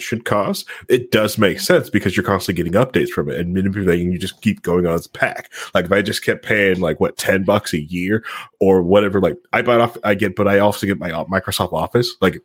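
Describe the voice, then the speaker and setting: A man with a low, husky voice talks casually as a podcast co-host. He speaks haltingly, circling back over words and phrases as he goes, and the sound is close and dry. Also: dry recording.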